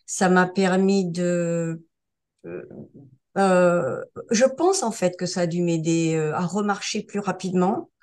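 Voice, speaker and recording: An older woman around seventy, originally from France, speaks in a somewhat low voice. She tells her story casually and haltingly, often pausing on fillers and starting over. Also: darkish tone. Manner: hesitant, many fillers and restarts. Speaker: interview guest sharing a testimonial. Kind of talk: casual spoken testimonial.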